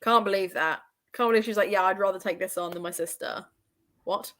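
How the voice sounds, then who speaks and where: A woman of medium pitch leads a relaxed live readalong chat. She banters with the others and puts emphatic stress on her opinions. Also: fairly quick. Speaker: readalong discussion host. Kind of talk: casual book discussion, live.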